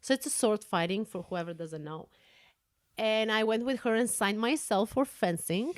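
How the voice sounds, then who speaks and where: A woman's voice: mid-range voice, normal speed, no echo. Bulgarian-accented woman, forties, chatty podcast co-host, dry close mic. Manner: chatty, laughs often, searches for words. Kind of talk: casual storytelling.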